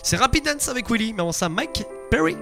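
A man's voice: extremely high pitch, big pitch swings, fast, creaky, over loud music. A man hosting a live night radio show, joking and chatty. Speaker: radio host. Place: radio studio.

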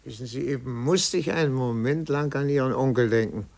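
An actor in a 1950s German radio play as a sober adviser, speaking in a measured, cautioning manner. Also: male voice, mid-range voice, creaky, darkish tone, natural voice. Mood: sober, warning. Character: sober, cautionary male adviser to a younger man.

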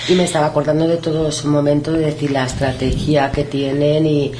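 A woman co-hosting a radio talk show, telling her experiences in animated fashion and agreeing often. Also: low voice, a little fast, noisy background. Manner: animated storytelling, many affirmations. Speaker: radio co-host. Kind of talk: casual radio discussion.

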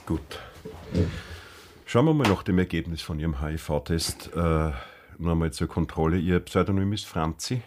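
A man's voice: low voice, moderate pace, darkish tone, natural voice. An actor playing a male clinic counsellor in a radio drama, polite, hesitant and soothing. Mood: polite, careful. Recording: noticeable noise and clicks behind.

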